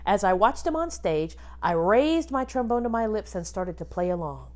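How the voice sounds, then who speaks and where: A woman reading a children's book aloud expressively, like a teacher, pausing to instruct and put questions to young listeners. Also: medium pitch, expressive, darkish tone. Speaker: music teacher reading a picture book to pupils.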